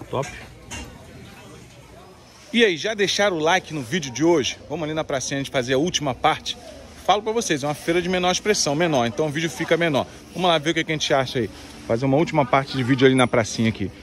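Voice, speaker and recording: A man, chatting to viewers in a casual, slangy way while filming himself bargain hunting outdoors on a handheld phone. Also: mid-range voice. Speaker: vlogger, game hunter. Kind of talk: casual vlog at a street market. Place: outdoor street flea market. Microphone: handheld phone camera. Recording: crowd noise from a busy street market. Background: market crowd chatter.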